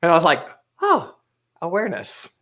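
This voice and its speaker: A man's voice: sits high, wildly swooping pitch, moderate pace, bright, forceful tone. A young man co-hosting a live motivational stream, talking casually and eagerly reading viewers' chat messages aloud. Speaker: co-host of live motivational show.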